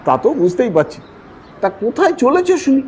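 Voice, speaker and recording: A man plays a wary young man in an audio storybook. He sounds reluctant and questioning, in a mellow, high-set voice with some background noise. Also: huge pitch swings, moderate pace, full character voice. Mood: wry. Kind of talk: audio storybook character acting.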